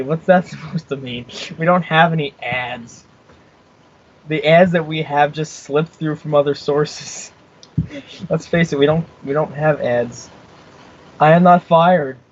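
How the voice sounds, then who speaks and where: A young man hosts a small internet radio show and talks off the cuff. His voice sits a little high, and he jokes, rambles, laughs and restarts his sentences. The sound is dull and low-fidelity. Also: a little hiss or hum. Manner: joking, rambling, stumbles over his words and laughs. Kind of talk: casual on-air chat. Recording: muffled lo-fi stream recording.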